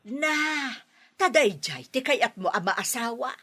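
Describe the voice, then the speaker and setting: A haughty Filipino mother in a radio drama. Her voice is very bright, hard-edged and fairly high. She scolds and mocks with scornful authority. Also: animated, unhurried, breathy edge, exaggerated voice. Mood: indignant, stern. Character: domineering, scornful mother who rejects her son's girlfriend. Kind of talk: Ilocano radio drama acting.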